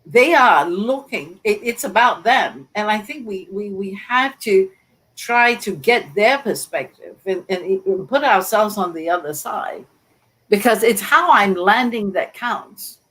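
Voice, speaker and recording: A woman's voice: medium pitch, animated, unhurried, fairly bright tone, low-quality recording. A woman on a live online panel, speaking earnestly about job searching and repeating her main points for emphasis over a video call. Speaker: panelist, book author.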